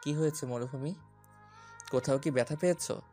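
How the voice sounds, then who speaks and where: A man's voice: a little high, brisk, warm tone, faint music behind, natural voice. An actor playing a domineering young policeman suitor in a romantic audio story, commanding and taunting. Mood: mocking.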